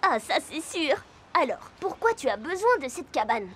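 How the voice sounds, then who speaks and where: A woman voicing a cheerful, curious young animal in a children's cartoon, talking in a bright, childlike way straight to young viewers. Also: high-pitched, big pitch swings, normal speed, a little hiss or hum. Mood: curious, friendly. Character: Jasmin, cheerful curious young animal who travels to friends. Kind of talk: children's cartoon dialogue in French. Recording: music behind the voice.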